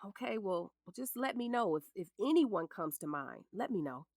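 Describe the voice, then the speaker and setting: An actress with an American accent playing a sharp-tongued, protective middle-aged lawyer and scornful ex-wife in an audio drama, blunt and commanding. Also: female voice, medium pitch, sing-song, normal speed, gravelly, a little hiss or hum. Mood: reassuring, focused.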